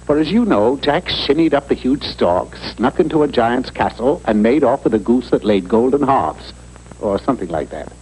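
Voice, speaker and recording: A man's voice: mid-range voice, animated, normal speed, a little mellow, natural voice. A man playing a wry, urbane storybook narrator, delivering comic scripted narration in a mock-serious manner. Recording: faintly noisy old TV broadcast tape.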